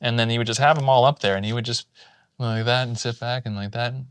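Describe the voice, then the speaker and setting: A man in relaxed podcast conversation, spinning anecdotes with plenty of false starts. His voice sits in an ordinary middle range. The sound is close and dry. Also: mid-range voice, quick pace, smooth, no echo. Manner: rambling anecdotes with many restarts. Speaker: podcast co-host. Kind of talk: casual chat, storytelling.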